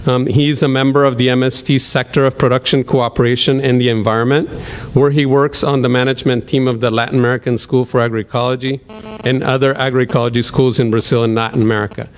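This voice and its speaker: A man's voice: medium pitch, little pitch movement, quick pace, clear, resonant tone. A man from El Salvador with a Salvadoran Spanish accent, a professor giving a hesitant introduction to a guest speaker, with frequent ums and restarts. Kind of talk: lecture hall introduction.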